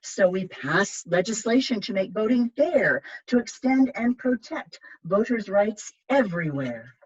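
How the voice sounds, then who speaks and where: A woman reading picture books aloud as a library storytime reader, with measured, emphatic storytelling. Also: medium pitch, expressive, slightly rough, lo-fi sound. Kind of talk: expressive read-aloud of children's picture books.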